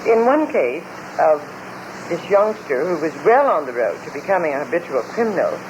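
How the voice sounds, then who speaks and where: A man's voice: on the high side, very animated, moderate pace, muffled recording. A man speaking formally on old-time radio. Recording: noisy old radio broadcast.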